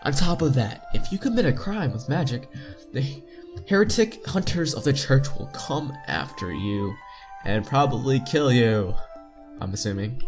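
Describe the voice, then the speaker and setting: A young man with a mid-pitched voice narrates a visual novel playthrough over soft background music. He reads the text informally, trips over words and corrects himself, and drops in casual asides. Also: animated, measured pace. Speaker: playthrough host. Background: game music.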